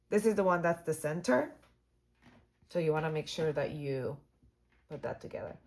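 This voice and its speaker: A woman hosting a sewing tutorial, chatty and upbeat with lots of fillers, her voice hoarse and cutting in and out. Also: deep voice, expressive, measured pace, long pauses. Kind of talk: casual how-to demonstration.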